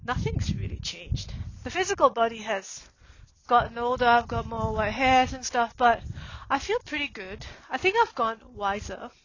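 A woman's voice: fairly high pitch, lively intonation, highs cut off, slight background noise. A woman in her forties musing aloud for a reflective podcast while walking outdoors on a snowy street. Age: 40s. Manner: slow, musing and meandering. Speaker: podcast host.

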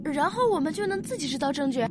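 A high, very lively young child acted by a woman in a sci-fi radio drama. The kid is curious and excitable, firing eager questions in a childlike way over background music. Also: quick pace. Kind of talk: Chinese science fiction radio drama acting.

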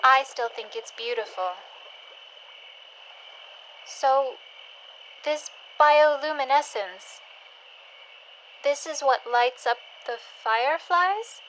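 A curious scavenger woman played by an actress in an audio drama, high-pitched and slow-spoken. She sounds gentle, hesitant and dreamy, with faint ambience behind her. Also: slight background noise, rough recording. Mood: wistful, dreamy. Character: curious, wistful woman scavenger living by a landfill.

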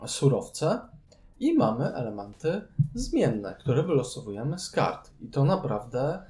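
A man with a soft, mellow voice talks as a podcast co-host. His delivery is conversational and analytical, relaxed in tone and mid-pitched. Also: measured pace. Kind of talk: casual board game discussion.

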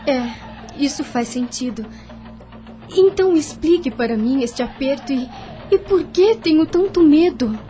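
An actress playing a sweet, meek, anxious young heiress in a radio drama, earnest and questioning, with a soft breathy voice. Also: female voice, high voice, lively intonation, measured pace, faint music behind. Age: young adult.

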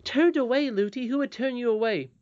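A woman's voice: medium pitch, lively intonation, moderate pace, a little mellow, no echo, dull audio, pitched above the performer's own voice, lightly acted. A woman reading a storybook aloud, voicing an earnest, curious little princess with a childlike, pleading manner.

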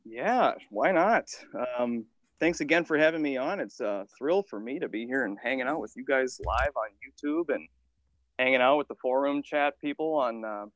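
A man around thirty, a podcast guest telling stories in an animated, casual way with the odd swear. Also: medium pitch, normal speed, dry recording, muffled recording. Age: 30s. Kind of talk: casual interview storytelling.

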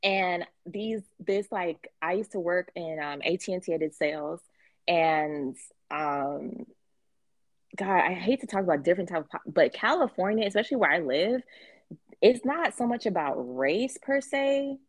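A woman's voice: on the low side, moderate pace, no echo. A woman host from the West Indies, talking candidly in a casual chat, with faint Caribbean traces in her American accent. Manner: candid, animated storytelling with acted quotes and swearing. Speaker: podcast host.

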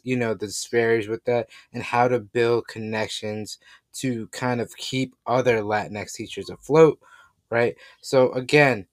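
A man's voice: lowish voice, dry recording. A man hosting a podcast conversationally, often checking in with "right?". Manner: frequently tags statements with "right?". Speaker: podcast host, teacher.

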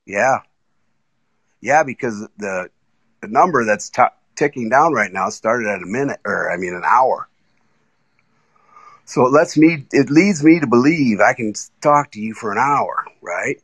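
A man's voice: mid-range voice, expressive, a bit bright, slightly rough, no echo. A man calling in to a casual chat on his phone, talking eagerly in stops and starts. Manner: enthusiastic, halting with restarts. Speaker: guest caller. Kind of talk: casual chat on a live audio app. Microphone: iPhone.

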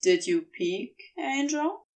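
A woman voicing a teasing, protective male lover in a story, commanding yet tender, amused with a gentle note of warning. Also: high-pitched, big pitch swings, takes it slowly, far from the mic, full character voice. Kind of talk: audiobook character dialogue.

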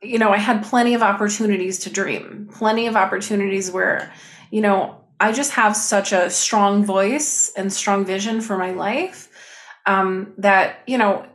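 A woman talking as a podcast guest, telling stories in an animated, expressive way with lots of fillers. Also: mid-range voice, a little slow. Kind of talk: casual conversation.

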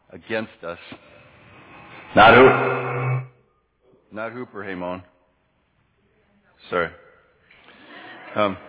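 A man's voice: fairly low pitch, breathy edge. A man preaching a sermon in a conversational, teacherly way, putting questions to his congregation and answering them himself. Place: large church hall, preaching to a congregation. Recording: echoey hall, dull lo-fi sound.

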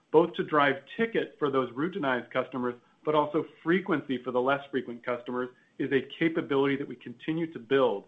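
A man speaking as a senior executive on an earnings call, with a polished business delivery that moves through a list of points. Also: on the high side. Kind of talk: corporate answer on an earnings call. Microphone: conference call line. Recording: narrow phone-like conference line.

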